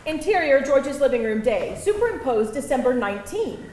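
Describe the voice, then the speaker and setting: A woman reading stage directions aloud as narrator at a live table read, expressive and theatrical, in a roomy venue. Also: sits high, moderate pace. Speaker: screenplay narrator. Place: live event venue with audience. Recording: roomy live venue, faint background noise.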